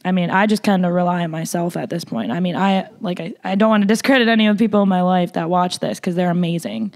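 A young woman talks in a loud, blunt, casual way, filling her sentences with "like." Her voice sits a little low for a woman. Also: quick pace. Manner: loud, blunt, frequent "like" fillers. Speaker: podcast guest, makeup artist. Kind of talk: casual interview chat.

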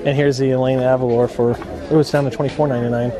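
A man filming a casual shopping vlog on a handheld camera, chatting along and reading out prices. His voice sits at an ordinary pitch. Store shoppers murmur clearly in the background. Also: level tone, fast, crowd noise. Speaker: vlogger filming a shopping trip. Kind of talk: casual chat. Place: inside a quiet clothing store.

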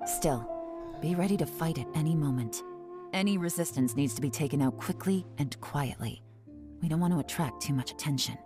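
A composed female spymaster from a game, played by a woman. Her voice sits low and steady, and every order comes out dry and unhurried. Music runs behind her. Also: close to an everyday voice. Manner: calm, dry and commanding.

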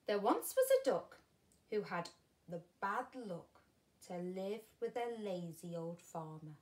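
A woman in her thirties reading a children's story like a primary teacher, expressively and with dramatic pauses. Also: fairly low pitch. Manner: animated, deliberate, dramatic pauses. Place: small furnished room at home, indoors.

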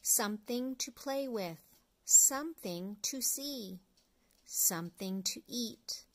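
Middle aged lady reading a kids picture book out loud, really slow and deliberate. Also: fairly high pitch, animated, low-quality recording. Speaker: storyteller, children's read-along host. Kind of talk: read-aloud of an early reader picture book for young children.

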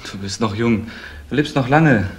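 An actor playing a suspicious, needy young nephew in a crime radio play, with an intense, fixated way of talking. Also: male voice, mid-range voice, moderate pace, slightly rough, lightly acted. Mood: subdued.

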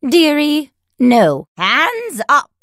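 A woman voicing an eccentric old granny witch in a video game, theatrical, doting and teasing with drawn-out exclamations. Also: high voice, huge pitch swings, fairly bright tone, dry recording, studio quality, exaggerated voice. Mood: gleeful, teasing. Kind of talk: video game character voice lines.

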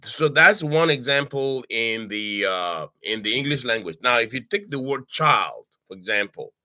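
Older man from Cameroon, language tutor, bright forceful voice, halting delivery full of uh's, dry close webcam sound. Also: medium pitch, animated, dry recording. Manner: halting, many "uh"s and restarts, frequent "okay?" check-ins. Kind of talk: informal online language lesson. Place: home study with bookshelves. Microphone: webcam on a video call.

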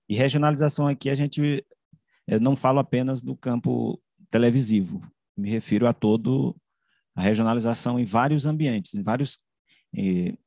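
Halting man presenting research. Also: fairly low pitch, a little mellow, dry recording, clean studio sound. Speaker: researcher presenting his study. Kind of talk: academic online presentation. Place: indoors, room with bookshelves, video call. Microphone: desk microphone close to the mouth.

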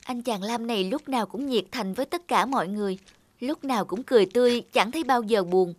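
A woman's voice: on the high side, quick pace, darkish tone, close to an everyday voice. A woman playing an earnest, heartbroken young woman in a cartoon, speaking her thoughts with emotion. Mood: wistful. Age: young adult. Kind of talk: cartoon dialogue and inner monologue. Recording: music under the voice. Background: music.

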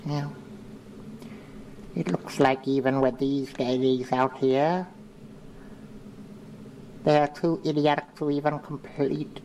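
A man's voice: fairly high pitch, little pitch movement, a little mellow, a little hiss or hum, rough recording. Man putting on a pompous scheming little uncle. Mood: condescending. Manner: grandiose, condescending, slow and deliberate. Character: small pompous scheming old uncle, self-styled mastermind.

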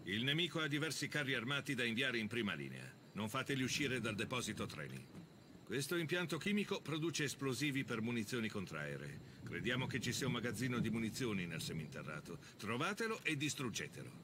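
Actor as stern officer with lively intonation. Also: male voice, medium pitch, fairly quick, background noise, lightly acted. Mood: stern, confident. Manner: firm, authoritative, declarative. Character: male army officer, stern, confident mission briefer. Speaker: briefing officer. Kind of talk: scripted mission briefing.

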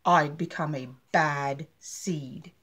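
A woman's voice: low-pitched, fairly even intonation, slow, a bit bright, husky, voice pushed down, heavily acted. A woman voicing a gloomy, reforming bad seed from a storybook, telling his story dramatically for children. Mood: dramatic recalling. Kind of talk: children's picture book read-aloud.

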